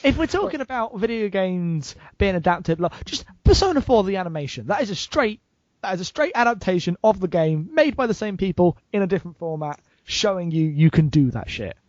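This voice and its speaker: A man chatting casually as a podcast panelist, emphatic and excitable. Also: high-pitched, a little fast, no echo, muffled recording. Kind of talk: casual chat.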